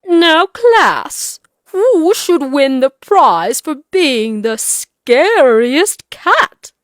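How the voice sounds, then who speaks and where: A woman's voice: sits high, sing-song, very slow, no room sound, clean studio sound, full character voice. A woman voicing a female schoolteacher with a theatrical, suspenseful way of addressing her class, in a storybook reading. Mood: brisk, animated. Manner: hushed, suspenseful, repetitive build-up. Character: female schoolteacher telling a spooky ghost story.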